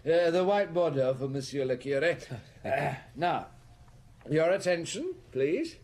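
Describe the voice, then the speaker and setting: A mocking, debonair count in a detective audio drama. The voice sits fairly high and swings widely in pitch. He holds forth breezily, like someone used to an audience. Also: sing-song, measured pace, a little mellow. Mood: mocking, commanding. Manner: breezy, sardonic, theatrical speech-making. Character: flippant, spendthrift aristocratic count, mocking and debonair.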